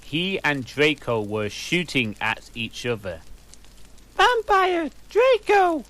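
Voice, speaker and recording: A man reading a fanfic aloud slowly and deadpan, breaking off for exasperated, cursing asides. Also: medium pitch. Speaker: reader.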